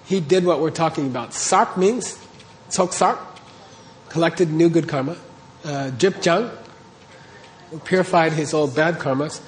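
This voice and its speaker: A man's voice: a little high, a little slow, pauses often, slight background noise. A man teaching a class, explaining terms step by step and checking in with his listeners. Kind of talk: classroom lecture on Tibetan texts.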